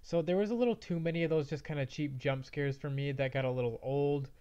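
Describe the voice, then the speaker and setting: A man's voice: fairly high pitch, fast, dark tone, clean tone. Man with a slight cold, slightly muffled audio. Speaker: movie review show host. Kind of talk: casual movie review to viewers. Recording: slightly dull, band-limited audio.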